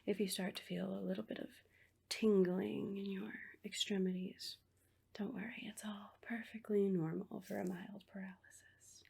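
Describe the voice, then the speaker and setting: Horror ASMR nurse, female performer: hushed, husky whisper, gravelly edge, coaxing reassurance over quiet menace. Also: mid-range voice, flat delivery, exaggerated voice. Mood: soothing, clinical. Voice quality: breathy whisper. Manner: slow, coaxing, falsely reassuring. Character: sinister asylum nurse, soothing on the surface, quietly menacing.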